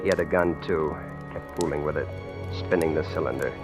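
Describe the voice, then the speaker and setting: A man's voice: extremely low pitch, steady tone, brisk. An actor playing a frightened, stammering ordinary man caught among killers, in a 1940s radio suspense drama. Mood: tense dread. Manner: hesitant, stammering. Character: frightened ordinary husband mistaken for a killers' client. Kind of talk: 1940s radio acting, first-person suspense narration. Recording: old-time radio recording with music.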